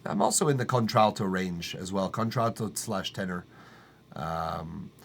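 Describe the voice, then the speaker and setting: A man's voice: deep voice, expressive, unhurried, creaky, slight background noise. Male streamer with a tenor voice, laid-back, profane and rambling, with lots of ums. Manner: loose, profane, rambling with fillers and restarts. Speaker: livestream music feedback host. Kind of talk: casual live-stream chat. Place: acoustically treated home studio room.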